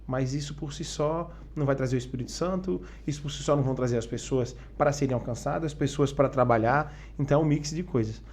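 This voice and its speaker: A man's voice: mid-range voice, fast talker, hardly any pauses, a little mellow. A man hosting a podcast interview, asking rambling strings of questions. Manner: rambling, stacks several questions at once. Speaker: podcast host.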